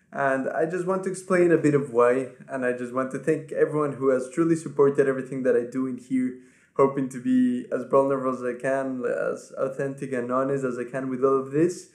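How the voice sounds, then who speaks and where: A man in his twenties from Ecuador, a native Spanish speaker, hosting his podcast and talking earnestly without a script, softly and with many restarts. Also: medium pitch, steady tone, normal speed. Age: 20s. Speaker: podcast host. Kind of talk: unscripted personal talk to camera. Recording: echoey room.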